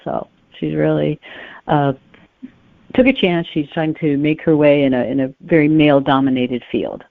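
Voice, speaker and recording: A woman's voice: deep voice, normal speed, creaky, no echo. A woman speaking as a guest author, giving conversational answers in an interview. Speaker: guest author discussing her book. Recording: narrow phone-like line, dry.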